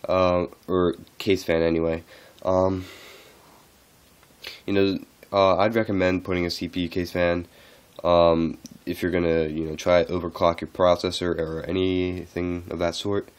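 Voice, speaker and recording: A man who is a little sick, talking through a casual how-to walkthrough in a halting, unscripted way. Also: low voice, flat delivery, clean tone. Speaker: tech tutorial host.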